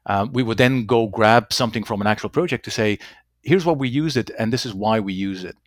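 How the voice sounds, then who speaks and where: Low-voiced man, halting speech. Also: no room sound, clean studio sound. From Belgium. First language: Dutch. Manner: halting, repeats words, tags sentences with "right?". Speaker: company executive guest. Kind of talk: conversational interview answers.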